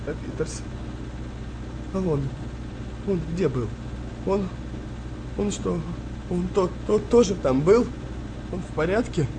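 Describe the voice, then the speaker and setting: Shell-shocked young soldier, noisy surroundings. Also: male voice, sits high, lively intonation. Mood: anxious. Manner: halting, repetitive, emotional delivery. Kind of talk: radio play acting. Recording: noisy wartime ambience behind voice.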